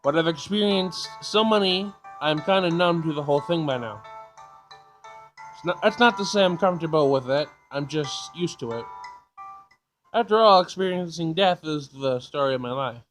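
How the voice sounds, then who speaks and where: A man with a fairly high voice hosts a casual let's play over clearly audible game music. He reads the on-screen text aloud in an informal way, sometimes tripping over the words. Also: lively intonation, normal speed, fairly bright tone. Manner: informal, stumbles while reading aloud. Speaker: gaming video host.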